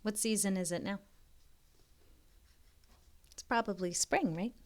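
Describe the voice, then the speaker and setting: A woman reading a picture book aloud to young children as a tutor, expressive and pausing to prompt the listener with questions. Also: mid-range voice.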